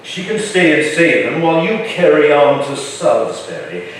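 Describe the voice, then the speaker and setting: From far off in a very echoey room comes a man playing an older colonial governor, his voice on the high side. He is imperious and formal, with a dry, shrewd edge, as in a historical stage play. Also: big pitch swings, normal speed, slight background noise. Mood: brisk, shrewd. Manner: commanding, formal, wry.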